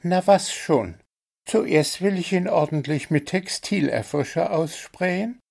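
Chirpy greedy little birdie - guy doing the voice, squeaky and bouncy. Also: expressive, takes it slowly, creaky, a little mellow, heavily acted. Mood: eager. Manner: squeaky, eager, scheming.

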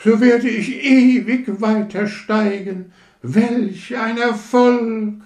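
A man's voice: medium pitch, expressive, slow, darkish tone, pitched above the performer's own voice. A man voicing a vain, pompous firework rocket that boasts with haughty, affected grandeur in a storybook tale. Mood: triumphant. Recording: roomy echo.

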